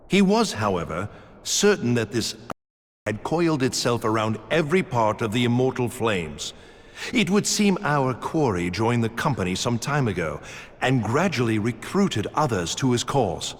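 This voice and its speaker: Grave fantasy spymaster commander, courteous, measured archaic speech, lively intonation, long hall reverb. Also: male voice, mid-range voice. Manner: formal, measured, archaic diction. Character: composed, shrewd male military intelligence commander, courteous and grave. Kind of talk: dramatic fantasy game voice acting. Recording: long reverb under clear music.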